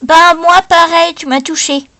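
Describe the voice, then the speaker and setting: A woman voicing gushing female talent-show characters in an amateur doll play, improvising with hesitant, repetitive, halting phrasing. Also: very high voice, steady tone, sharp tone, full character voice. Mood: moved, admiring.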